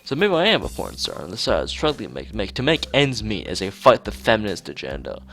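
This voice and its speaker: A man's voice: fairly low pitch, huge pitch swings, a little fast, gravelly, a bit bright, slight background noise, heavily acted. A man voicing a paranoid young male conspiracy theorist in a visual novel, ranting with urgent, pleading melodrama. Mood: indignant. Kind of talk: reading visual novel dialogue aloud.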